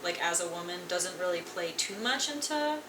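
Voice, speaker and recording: A woman's voice: on the low side, moderate pace. Brief: young woman from Colorado, easygoing interview guest, filler-heavy, recorded far from the mic with background noise. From Colorado, USA. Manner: relaxed, filler-heavy, frequent "like". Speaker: guest musician. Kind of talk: casual interview conversation. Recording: echoey room, distant mic, noisy.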